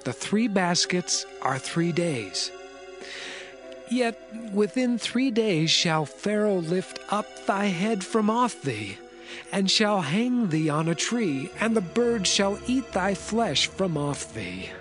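A devout young prisoner in a biblical audio drama. His fairly high voice moves expressively, solemn and earnest, with music clearly underneath. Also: very animated, slow pace, fairly bright tone, heavily acted. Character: Joseph, young Hebrew prisoner, earnest, devout dream interpreter. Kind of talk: dramatized scripture acting.

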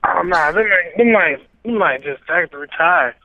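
Over a tinny phone connection, a man speaks in a fairly high voice with heavy fry. He is casual and slangy, giving short, blunt answers. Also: expressive, moderate pace. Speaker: car seller answering a call. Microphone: phone call. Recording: tinny narrow phone line.

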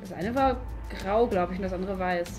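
A woman's voice: mid-range voice, brisk, a little mellow, soft background music, lightly acted. A woman playing a naive, evasive theft suspect in an improvised comedy skit, giving short, innocent-sounding answers. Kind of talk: improvised comedic role-play. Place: furnished room, indoors.

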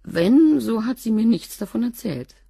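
A woman's voice: a little high, lively intonation, normal speed, vocal fry, dark tone, breathy edge, dry recording, full character voice. An actress playing a curt, evasive cleaning woman under police questioning in a radio crime play. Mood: guarded. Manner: terse, evasive answers.

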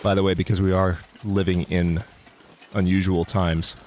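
Let's play host, male, low voice, slightly congested, rambling self-correcting commentary, faint room ambience. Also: level tone, moderate pace, a little mellow, a little hiss or hum. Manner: chatty, thinks aloud, frequent restarts. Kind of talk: casual gameplay commentary.

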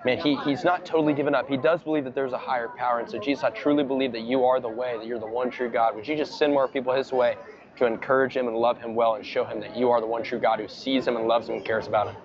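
A man's voice: mid-range voice, steady tone, fast talker. A young American man in his early twenties from Georgia, speaking earnestly and informally as a street preacher. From Georgia, United States. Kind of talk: casual street evangelism and spontaneous prayer. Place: outdoors on a crowded town street. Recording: muffled outdoor clip with busy crowd. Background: crowd chatter.

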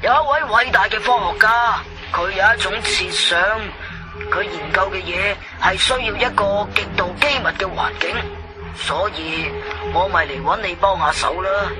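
A man plays a slick Cantonese go-between in a radio drama. His voice is high for a man, and he presses his offer smoothly and persuasively over clearly audible music. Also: moderate pace, natural voice. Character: slick, persuasive male middleman offering a bribe.

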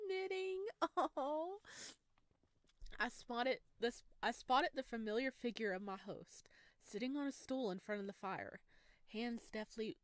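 A woman streaming a visual novel, reading narration aloud and reacting in an expressive, gushing way. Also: mid-range voice, no room sound. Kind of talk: game playthrough with read-aloud narration and excited reactions.

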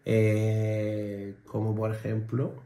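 A man's voice: lowish voice, completely flat delivery, very slow, warm tone. A young man from Spain co-hosting a reaction video, chatting casually and rambling with lots of fillers and restarts. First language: Spanish. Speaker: reaction channel co-host. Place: living room at home. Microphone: fixed camera on a desk. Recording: roomy home echo.

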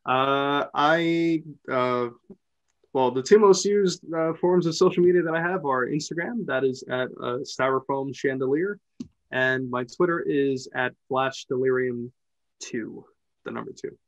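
A man with a mid-pitched voice chats as a guest. His talk is casual and joking. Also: measured pace, muffled recording.

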